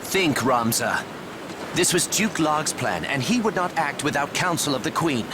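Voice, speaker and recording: An actor playing a proud, guarded young knight in a fantasy game, speaking in measured, formal archaic phrases. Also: male voice, on the high side, expressive, background noise. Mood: cool, calculating. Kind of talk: dramatic fantasy game voice acting.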